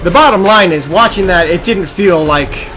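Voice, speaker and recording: Man podcasting over loud game music, gunfire. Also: high voice, moderate pace, rough recording. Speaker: gaming podcast co-host. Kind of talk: casual commentary while playing a video game. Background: loud video game music and gunfire.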